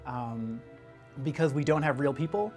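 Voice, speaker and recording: A man in a casual interview, speaking in halting bursts with frequent asides. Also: mid-range voice, a little mellow, soft background music. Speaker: video game interviewee.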